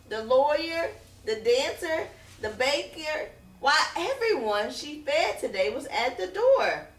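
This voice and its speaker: A woman reading a children's story aloud in an expressive, dramatic way, drawing out words for effect. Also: high voice. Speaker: picture book reader. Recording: roomy echo, distant from microphone.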